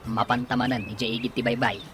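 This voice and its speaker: A man's voice: mid-range voice, little pitch movement, fast, music in the background, close to an everyday voice. A man acting an easygoing young friend in an Ilocano radio drama, with an Ilocano accent. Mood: playful.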